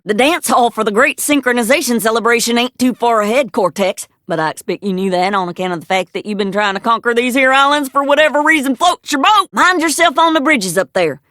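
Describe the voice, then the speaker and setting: An actress playing a folksy, plainspoken adventure guide in a cartoon game, with a drawling American Southern accent. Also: female voice, high voice, fairly bright tone, dry recording, studio quality. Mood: brisk, friendly. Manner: folksy, drawling, colourful country sayings. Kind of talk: cartoon video game voice acting.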